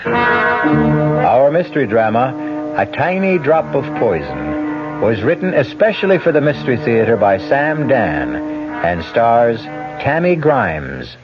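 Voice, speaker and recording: An older man hosting and narrating a radio mystery show in a grave, measured, dramatic way. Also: mid-range voice, very animated. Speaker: host and narrator. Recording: dull 1970s radio broadcast with music.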